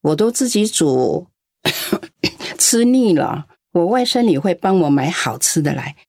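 A gentle, trusting old grandma. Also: female voice, on the low side, sing-song, dry recording, studio quality, full character voice. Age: older. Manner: slow, gentle, grandmotherly; tearful when upset. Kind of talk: radio play acting.

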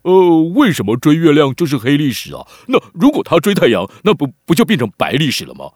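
A man's voice: fairly high pitch, huge pitch swings, quick pace, darkish tone, breathy edge, dry recording, clean studio sound, full character voice. A man voicing a cheeky, sarcastic young boy who whines and complains in a children's radio drama. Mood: exasperated. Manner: whiny, exaggerated, complaining.

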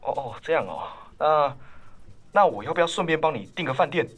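A nervous would-be boyfriend in a radio play. He is eager and easily flustered, stumbling over his words and over-explaining, his pitch swinging about. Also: expressive, fairly quick, fairly bright tone, husky, highs cut off. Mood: awkwardly eager. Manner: stammering, hesitant, over-explaining. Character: flustered, eager man courting a woman before a first date. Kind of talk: radio drama acting.

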